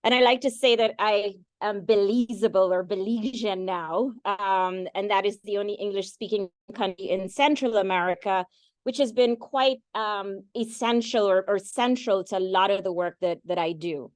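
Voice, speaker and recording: A middle-aged woman from Guyana presents an engineering lecture in a relaxed, conversational way. Her speaking pitch is moderate, and she often stops to restart a phrase or fill a pause. The recording sounds dry and close, with almost no room sound. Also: clean tone, no echo. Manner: conversational, with frequent fillers and restarts. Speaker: engineering professor giving a lecture. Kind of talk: academic talk with slides.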